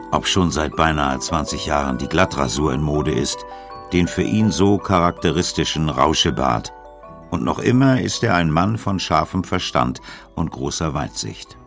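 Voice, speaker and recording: A man reading scripted documentary narration in a measured, storytelling way. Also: extremely low pitch, vocal fry.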